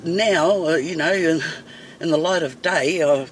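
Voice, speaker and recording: A woman's voice: sits low, measured pace. A middle-aged woman with a New Zealand accent, bluntly ranting and swearing to her phone camera while driving. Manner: blunt, fiery, swears freely. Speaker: vlogger. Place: inside a van in traffic. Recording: distant phone mic with loud road noise.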